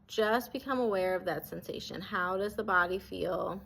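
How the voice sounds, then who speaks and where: A woman leading a guided body scan meditation as an instructor, speaking in a gentle, reassuring way that gives permission. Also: mid-range voice, moderate pace, gravelly, a little hiss or hum.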